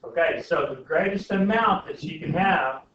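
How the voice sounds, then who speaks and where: A man's voice: a little high, normal speed, a crowd in the background. A man teaching a class informally, often checking in with questions. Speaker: algebra teacher. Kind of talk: classroom lesson, thinking aloud with questions to students. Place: indoor classroom. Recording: very echoey classroom, distant mic, muffled, faint noise.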